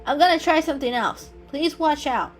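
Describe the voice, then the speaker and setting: A woman's voice: high voice, normal speed, pitched above the performer's own voice, natural voice. A woman voicing a bold, mischievous young male kitten in a storybook reading, eager and playful. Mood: playful, excited. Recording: music under the voice.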